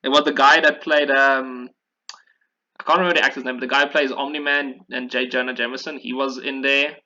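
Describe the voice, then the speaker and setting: A man's voice: mid-range voice, flat delivery, brisk, sharp tone, dull audio. A man chatting casually and enthusiastically about video games in an informal way, as a podcast host.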